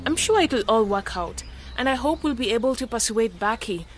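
A woman's voice: fairly high pitch, lively intonation, moderate pace, faint music behind, natural voice. An actress playing a determined, hopeful young graduate woman in a radio drama, direct and challenging. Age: young adult.

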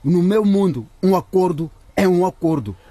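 A man acting a stern, cold businessman in a radio drama, speaking with deliberate threat and a husky voice. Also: high voice, expressive, mellow, no echo. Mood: stern menace. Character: menacing businessman, cold, impatient, paying off a blackmailer.

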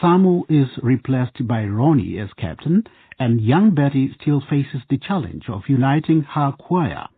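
A man's voice: mid-range voice, big pitch swings, unhurried, breathy edge, no echo. A man narrating a radio drama from a script. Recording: tinny, phone-like narrow band.

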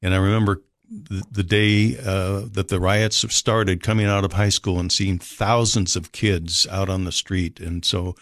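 An older man from Minnesota with a Minnesota accent, telling his life story as a guest in an unhurried, polite way. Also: sits low, steady tone, a bit bright, no room sound, studio quality. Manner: unhurried, polite, frequent fillers. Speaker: rescue mission director, guest. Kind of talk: relaxed storytelling interview.

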